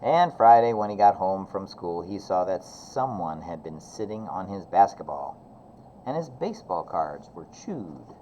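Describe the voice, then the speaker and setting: A man's voice: low-pitched, very animated, measured pace, warm tone. A man reading a children's storybook aloud expressively like a teacher, on a slightly dull, noisy recording. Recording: slightly dull, faint background noise.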